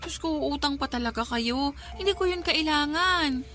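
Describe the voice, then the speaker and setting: Radio drama role: dutiful young daughter, Filipino accent, higher voice, respectful, bashful, pleading. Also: female voice, normal speed, bright, forceful tone. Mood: protesting, concerned.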